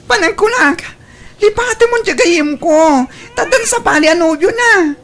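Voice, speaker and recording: A woman's voice: lively intonation, unhurried, fairly bright tone, full character voice. An actress with an Ilocano Filipino accent playing an upset, scolding mother in a radio drama. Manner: shrill, scolding.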